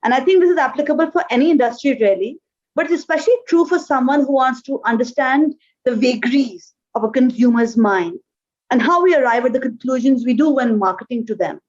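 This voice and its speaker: Bright-voiced woman with an Urdu background. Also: high voice. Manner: thoughtful, with frequent "uh" pauses. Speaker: guest, marketing manager. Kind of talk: conversational interview answers. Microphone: remote call. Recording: dull, lo-fi call audio.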